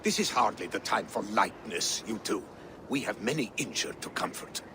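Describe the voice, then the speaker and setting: An actor playing a weary, pious old Viking counselor and healer in a video game, grave and deferential. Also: male voice, lowish voice, expressive, moderate pace. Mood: scolding. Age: older. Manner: grave, deferential, gently scolding. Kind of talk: video game cutscene acting. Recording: noisy game ambience behind dialogue.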